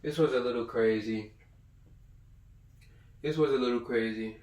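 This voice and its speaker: A man's voice: medium pitch, a little slow, a little mellow. A man giving an informal lecture, reading passages aloud and commenting in blunt, profane slang, repeating himself for emphasis. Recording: roomy echo, far from the mic.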